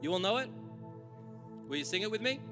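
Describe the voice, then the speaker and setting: A man's voice: high voice, very animated, fairly quick, big-room sound. A man, a pastor, talking casually and with self-deprecating humor to his congregation in a church before leading a sung creed. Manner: chatty, self-deprecating, joking about his own singing. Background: acoustic guitar playing.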